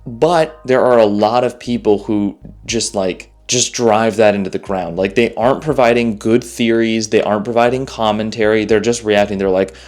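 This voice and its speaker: A man with a fairly low voice talks through his opinions like a YouTube commentator. He is conversational and informal, repeating phrases for emphasis, with music playing behind him. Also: normal speed, few pauses, darkish tone. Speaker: YouTuber, analog horror commentator. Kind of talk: informal spoken video commentary.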